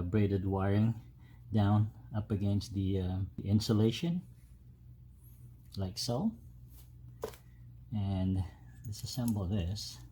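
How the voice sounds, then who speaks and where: A man giving a casual, unscripted step-by-step tutorial as a DIY host, with halting delivery. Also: lowish voice, dark tone. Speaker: host of a DIY truck modification video. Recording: clear background noise, ambient sound.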